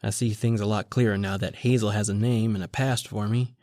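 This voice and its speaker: A man's voice: lowish voice, fairly even intonation, fairly quick, warm tone, no room sound, lightly acted. Man as hard-boiled reporter, dry studio sound. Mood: resolved. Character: determined, hard-boiled male newspaper reporter narrating his story. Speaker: voice actor. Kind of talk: old-time radio noir narration and dialogue.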